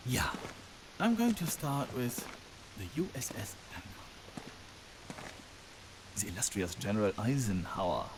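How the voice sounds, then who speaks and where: A sadistic, gloating military villain for a video game. His delivery is slow and theatrical, with pitch that swings widely and taunting pauses between phrases. There is clear noise behind the voice. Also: huge pitch swings, full character voice. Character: sinister gloating male Nazi general, theatrical and sadistic.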